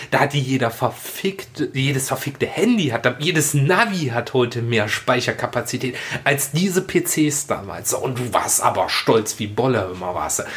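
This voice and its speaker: A man's voice: mid-range voice, a bit bright. A man in his late thirties chatting casually and humorously, telling anecdotes in a colloquial, sweary, mock-dramatic way. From Germany, Rhineland. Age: late 30s. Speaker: podcast co-host.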